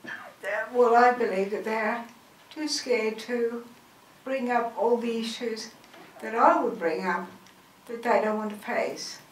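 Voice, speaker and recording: Woman in her sixties, slow halting weak voice. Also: fairly high pitch, fairly even intonation, distant mic. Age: 60s. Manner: slow, halting, effortful speech. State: weak, quiet voice. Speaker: audience member. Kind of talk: personal statement at a forum. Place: auditorium. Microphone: handheld mic. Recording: echoey hall, faint noise.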